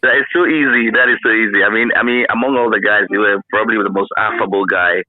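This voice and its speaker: A man's voice: fairly low pitch, fairly even intonation, fast, a crowd in the background. A middle-aged man reminiscing as an interview guest in casual conversation, effusive and emphatic, often repeating his phrases. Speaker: interview guest, former radio broadcaster. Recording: thin, narrow phone-like line.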